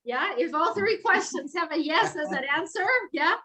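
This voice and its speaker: A woman's voice: very high voice, expressive, moderate pace, bright, forceful tone. A woman from Spain with a Spanish accent, a guest professor closing an online lecture in an upbeat, gracious way. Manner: upbeat and gracious, frequent tag questions. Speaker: guest speaker, English professor. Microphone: video call.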